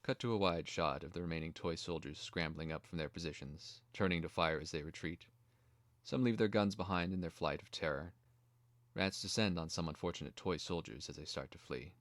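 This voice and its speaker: Very deep male dramatic narrator. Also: brisk, fairly bright tone, dry recording. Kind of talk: scripted narration of a screenplay.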